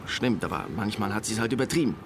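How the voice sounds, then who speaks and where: An actor playing an evasive high school student confiding in police in a radio crime play, hesitant and colloquial. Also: male voice, lively intonation, fast talker, noisy background.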